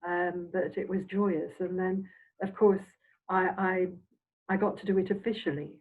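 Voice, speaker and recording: An older woman from Scotland, a semi-retired minister, reminiscing in a halting, meandering, reflective way as an interview guest. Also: on the low side, fairly even intonation. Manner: halting, meandering delivery with trailing phrases. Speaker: interviewed Spiritualist minister and medium. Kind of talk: reflective, anecdotal chat. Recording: dull, phone-like audio.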